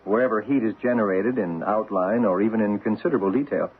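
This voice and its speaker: An actor playing a businesslike investigator's technical assistant in an old radio drama, understated and factual. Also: male voice, fairly low pitch, quick pace, breathy edge, narrow, tinny audio. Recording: band-limited, noisy old radio recording.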